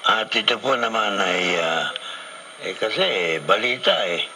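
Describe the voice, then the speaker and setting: A man's voice: lowish voice, unhurried, pushed and bright, husky. A man anchoring radio news with casual, joking banter, teasing and repeating himself playfully, on a noisy AM radio recording.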